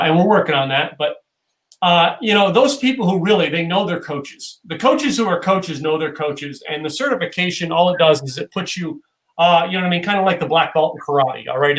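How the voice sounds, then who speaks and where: A man's voice: on the high side, moderate pace, fairly bright tone, poor audio. A man hosting a casual video-call interview from a loft room at home, rambling and joking with lots of fillers. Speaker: show host.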